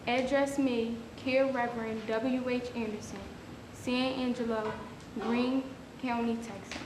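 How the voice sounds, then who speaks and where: A woman's voice: fairly high pitch, steady tone, a little slow, lightly acted. Roomy, noisy woman as earnest freedwoman. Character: earnest freedwoman searching for her mother. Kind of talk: dramatic reading of a historical ad. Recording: echoey hall with clear noise.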